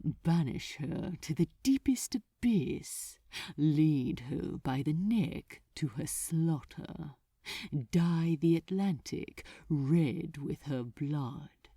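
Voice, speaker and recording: A woman voicing a smug, seductive male archdemon in an audiobook, silky and softly menacing in a theatrical way. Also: deep voice, lively intonation, drawn-out words, gravelly, husky, dry recording, clean studio sound, full character voice. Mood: savoring menace. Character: seductive, sinister male archdemon, smugly patronizing. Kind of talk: dramatized fan fiction audiobook reading.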